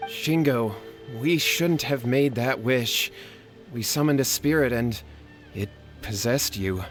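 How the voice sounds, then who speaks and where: A man's voice: mid-range voice, takes it slowly, soft background music, close to an everyday voice. An actor voicing an anxious, scheming young man from a poor family, nervous and pleading. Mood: remorseful.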